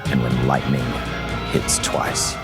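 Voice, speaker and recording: A man narrating a tabletop game as game master, measured and theatrical in his storytelling. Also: rumbling low, completely flat delivery, gravelly. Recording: loud plucked-guitar music bed. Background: loud guitar music.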